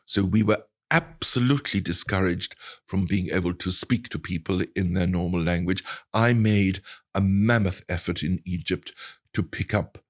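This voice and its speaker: An older man of about seventy with an English accent, telling anecdotes in a reflective, articulate and measured way as an interview guest. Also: sits low. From England. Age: older, around 70. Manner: articulate, measured storytelling with precise wording. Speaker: interview guest, translator and writer.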